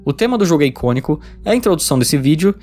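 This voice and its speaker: A man's voice: fairly high pitch, big pitch swings, very fast, darkish tone. A Brazilian man narrating a scripted review video as a game critic, in a conversational register. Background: background music.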